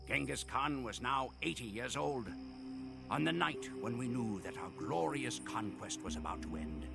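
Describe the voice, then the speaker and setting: An actor playing a solemn, proud Mongol warrior who tells his people's history in grave, measured, theatrical narration for a game. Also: fairly low pitch, gravelly. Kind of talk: scripted dramatic storytelling narration.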